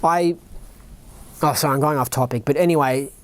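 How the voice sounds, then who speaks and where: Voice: medium pitch, a little fast. A podcast host with an Australian accent, reading a written account aloud and breaking off into rambling asides, often asking "right?" and "you know what I mean?".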